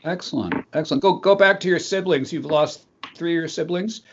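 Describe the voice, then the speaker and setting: A man's voice: on the high side, fairly quick, muffled recording, a little hiss or hum. A man hosting an interview, asking questions conversationally with friendly, brief affirmations. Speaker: interview host.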